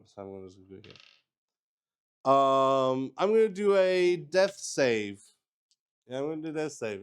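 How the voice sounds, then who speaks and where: Slow, halting man narrating his game. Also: on the low side, smooth. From Luxembourg. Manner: halting, thinking aloud. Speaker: solo tabletop roleplayer. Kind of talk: narrating a solo tabletop game while playing.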